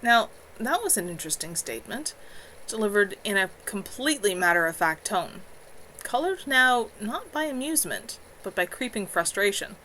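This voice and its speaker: A woman reading her own novel aloud at home in an expressive storytelling manner. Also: medium pitch, normal speed, slight background noise, poor audio.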